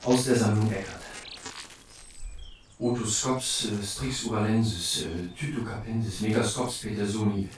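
Audio drama: male actor as eccentric bird scholar, low voice, absorbed, pedantic muttering, over clear background noise. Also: fairly even intonation, normal speed, echoey room, lightly acted. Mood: fascinated, muttering. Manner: muttering, hesitant, pedantic recitation. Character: eccentric, scholarly male owl expert and investigator, absorbed in detail. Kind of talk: German audio drama acting.

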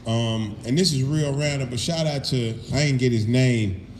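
A man's voice: medium pitch, moderate pace, a little mellow, reverberant, slight background noise. A middle-aged man hosting a podcast, talking in a laid-back, profane, storytelling way. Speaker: podcast host. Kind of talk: casual podcast chat.

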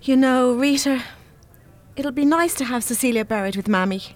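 An actress playing a brisk, stingy, scornful grown daughter in a radio play, with an Irish accent and an emphatic delivery. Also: female voice, high voice, lightly acted. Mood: sympathetic, approving.

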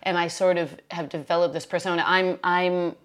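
A woman in her late forties talking casually as a podcast host. Her voice sits a little low, and she rambles, restarts and laughs at her own remarks. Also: level tone, normal speed. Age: 40s. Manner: chatty, self-deprecating, laughs often, trails off and restarts. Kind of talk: casual chat.

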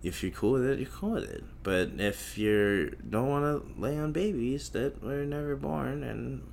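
A man's voice: medium pitch, measured pace, gravelly, a little mellow. A young man hosting a solo podcast, rambling in a crude, profane and self-mocking way that often loses its thread. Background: faint noise from outside.

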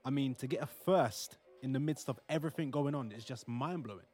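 A man's voice: mid-range voice, a little fast, darkish tone, close to an everyday voice. A man playing a devoted, flustered young expectant father in a modern audio play. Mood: tender, proud. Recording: music bed under the dialogue.

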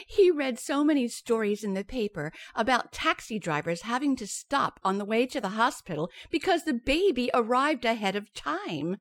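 A woman's voice: on the high side, big pitch swings, moderate pace, dry recording. An actress playing an anxious, grateful young expectant mother in an old-time radio sitcom, sweet and hesitant.

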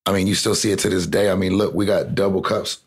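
Young South Texas guy, low husky rasp, rambling casual interview talk full of fillers. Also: fairly even intonation, fast. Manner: rambling, heavy "like" fillers, swearing. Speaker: interview guest, rapper.

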